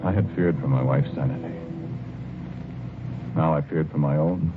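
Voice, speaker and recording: An actor playing a haunted, fearful husband in an old-time radio horror drama, speaking slowly and haltingly with brooding, heavy pauses. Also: male voice, a bass voice, steady tone. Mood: sad, awed. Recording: muffled, tinny vintage radio recording with music. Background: loud music.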